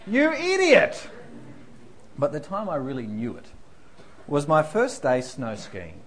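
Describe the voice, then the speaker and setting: A man's voice: fairly high pitch, takes it slowly. A man preaching a sermon, telling funny stories about himself before turning serious. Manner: self-deprecating storyteller, animated, laughing through anecdotes. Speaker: pastor.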